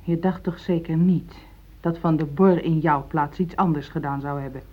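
An actress playing a pragmatic, pushy middle-aged wife, insistent and reproachful, in a radio play. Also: female voice, deep voice, fairly even intonation, normal speed. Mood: reproachful, pressing. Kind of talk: Dutch radio play acting. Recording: thin, phone-like old radio play recording.

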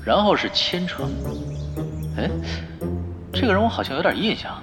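懒散狡黠的男玩家，语调起伏大. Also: male voice, sits high, big pitch swings, moderate pace. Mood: nonchalant, scheming. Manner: casual, nonchalant, needling. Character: laid-back, sly, teasing veteran male online gamer. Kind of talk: audio drama acting, conversational. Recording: music bed under dialogue.

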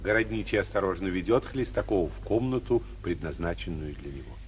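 Male narrator, low-pitched, expressive melody, old radio drama narration. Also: brisk, slight background noise. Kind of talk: narrating stage directions in a 1940s radio play.

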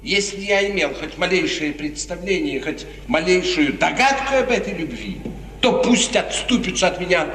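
Scheming chamberlain type, formal stage diction; noisy, echo-laden vintage radio play recording. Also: male voice, high voice, animated, normal speed, a bit bright. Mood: protesting. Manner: formal, deferential, theatrical. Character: smooth, scheming male courtier serving a prince. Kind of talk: 1950s radio drama acting. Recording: echoey, noisy, distant 1950s radio recording.

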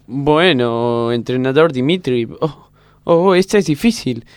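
Male actor as naive gymnast girl, childlike, earnest, literal, smooth tone, dry sound, radio comedy. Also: medium pitch, a little slow, no echo, lightly acted. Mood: puzzled, earnest. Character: naive, literal-minded young Romanian gymnast girl, eager to obey. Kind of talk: parody radio play acting.

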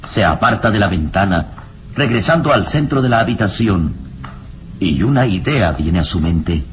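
A man's voice: on the low side, expressive, normal speed. A man narrating an old radio serial in a solemn, literary, dramatic storytelling style.

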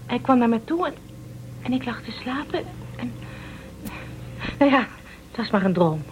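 High-voiced, emotional young woman space traveller acted. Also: lively intonation, normal speed, dull audio, slight background noise, lightly acted. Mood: dreamy, confiding. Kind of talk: Dutch radio drama acting.

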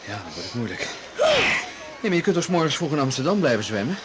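A man's voice: medium pitch, big pitch swings, quick pace, natural voice. A man playing an eager, reassuring boyfriend in a radio drama, with an easygoing, informal way of talking. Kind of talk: 1980s Dutch radio drama acting. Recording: noisy 1980s radio recording.

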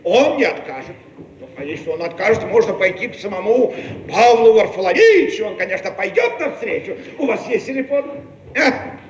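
Very high-pitched, excitable Soviet man. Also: a little fast, lo-fi sound. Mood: agitated, blustering. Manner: effusive, repeating words. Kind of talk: theatrical comic radio play acting. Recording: echoey archive radio recording with crowd.

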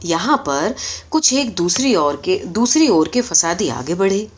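A woman reading a story aloud as expressive scripted narration, in the manner of an audiobook reader. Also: fairly low pitch, breathy edge. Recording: dull, lo-fi recording.

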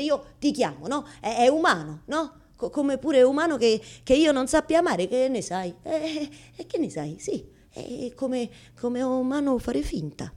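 An anguished woman, played by an actress, delivers a confessional radio-theatre monologue addressed to God. Her medium-pitched voice stumbles and circles back over the same words. She is blunt, embarrassed and exasperated, pressing each point insistently. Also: warm tone, lightly acted. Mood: uneasy, confessional. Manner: halting, repeating words and phrases insistently.

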